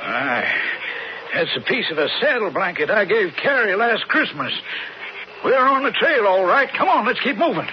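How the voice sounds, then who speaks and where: A man's voice: high-pitched, very animated, moderate pace. An actor playing a hard, driving rancher father in a radio western, commanding and urgent. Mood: driving, determined. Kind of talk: 1970s radio western acting. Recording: muffled old radio broadcast, hiss.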